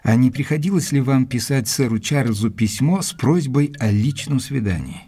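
Gentleman investigator, mellow, slightly husky, measured, polite, formal, audio drama with music behind. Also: mid-range voice, animated. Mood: polite, probing. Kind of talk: dramatized audiobook narration and acting.

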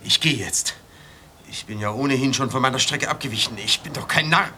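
Bright, peevish, fussy man. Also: mid-range voice, brisk. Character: indignant, fussy, cowardly male bystander, grumbling. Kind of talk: radio drama acting. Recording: slightly noisy old cassette.

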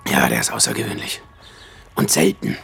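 An actor playing a courteous, sinister, wealthy film aesthete in a radio drama, speaking in a measured, formal way. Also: male voice, a bit bright, natural voice. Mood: guarded courtesy.

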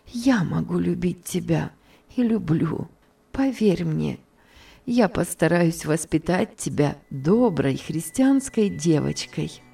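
A woman voicing a kind but firm middle-aged spinster who speaks softly and gently. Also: on the low side, vocal fry, husky, heavily acted. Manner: soft, gentle.

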